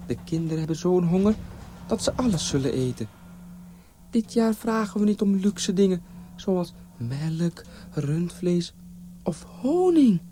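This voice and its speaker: A sly village wife from a humorous folktale, acted out by a man, pitched well up high. She sweetly wheedles and exclaims in feigned innocence, her tone swooping about. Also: very animated, measured pace, dark tone, a little hiss or hum. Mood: sweetly teasing.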